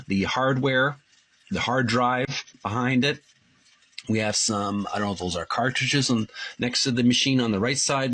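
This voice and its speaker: A man hosting his own channel, reading from a book and commenting casually, halting often with fillers and restarts. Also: medium pitch, a bit bright, husky. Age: adult who played tape games in school.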